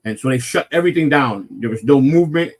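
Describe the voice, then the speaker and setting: A man with a naturally loud voice, hosting a casual morning live stream and rambling through a personal story with lots of swearing and restarts. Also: medium pitch, animated, quick pace. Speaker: morning show host telling a personal story.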